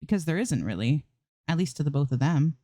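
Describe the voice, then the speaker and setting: A woman's voice: low voice, big pitch swings, fairly quick, mellow, dry recording, clean studio sound. A woman reading fan fiction aloud as an amateur narrator, in scripted storytelling style.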